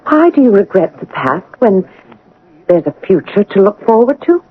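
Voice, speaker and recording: An actress playing a composed, candid eighteen-year-old orphan governess in a radio drama, speaking formally and earnestly. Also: female voice, medium pitch, sing-song, normal speed, breathy edge, phone-quality sound. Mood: candid, steady. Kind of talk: radio drama acting and first-person narration. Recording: band-limited vintage radio drama broadcast.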